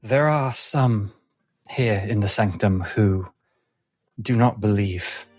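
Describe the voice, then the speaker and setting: A man's voice: fairly low pitch, takes it slowly, darkish tone, no echo. A man voicing a timid, deferential female servant mystic in a tabletop game, with a hesitant, stammering and very formal manner. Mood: anxious, reluctant.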